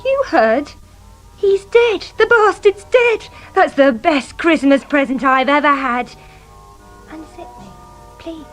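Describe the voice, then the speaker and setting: Tipsy wife of a crook: high, girlish voice, brittle and bitter, radio drama. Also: lively intonation, takes it slowly, soft background music. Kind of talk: radio crime drama dialogue.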